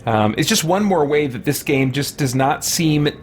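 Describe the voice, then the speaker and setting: A middle-aged man giving casual live let's-play commentary, musing and thinking aloud in halting asides. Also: a little high, animated, a little hiss or hum. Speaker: game streamer. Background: game music.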